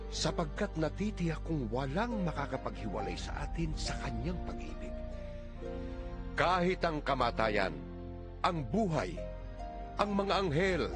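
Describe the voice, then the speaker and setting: Expressive male Bible narrator over music. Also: on the high side. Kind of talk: dramatized scripture reading. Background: background music.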